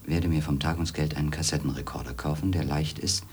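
An actor playing a skeptical, world-weary former magazine editor who narrates in a pensive, measured way in a radio drama. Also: male voice, very deep voice, slight background noise. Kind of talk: German radio drama, introspective narration and dialogue.

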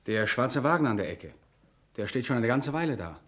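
An actor in a radio play playing a watchful, uneasy office employee who speaks with urgent insistence. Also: male voice, mid-range voice, big pitch swings, moderate pace, a bit bright.

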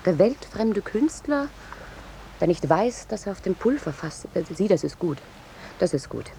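A brash female war reporter, hungry for sensation and full of her own importance. Her delivery is insistent and swoops animatedly up and down, in old radio drama style, with clear noise on the recording. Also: medium pitch, big pitch swings, dark tone. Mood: dismissive, scheming. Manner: brisk, insistent, self-important. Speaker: actor in a radio play. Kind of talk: 1950s German radio play acting.

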